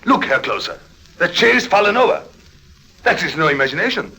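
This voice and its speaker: A dutiful manservant, formal and suspicious. His voice is sharp and swoops with urgency, in the deferential style of a 1950s radio drama. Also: a little high, very animated, brisk, exaggerated voice, poor audio. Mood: suspicious, insistent. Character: suspicious, dutiful male servant of a baron. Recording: old 1950s radio recording.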